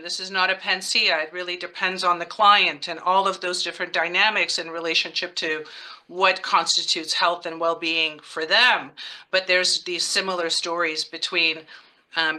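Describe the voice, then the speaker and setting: A woman from Czechia with a very bright, tense, sharp tone, sitting a little low in pitch. She speaks as an audience member in a Q&A, eager and rambling, with many "you know" fillers. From Czech Republic. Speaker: audience member asking a question, nurse and psychedelic therapist. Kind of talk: Q&A comment.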